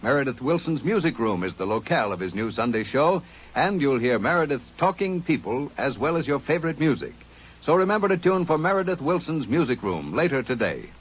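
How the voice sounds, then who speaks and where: A man's voice: medium pitch, fairly quick, breathy edge. A male network announcer reading polished scripted promotions. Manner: polished, lively announcer delivery. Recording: dull old radio broadcast.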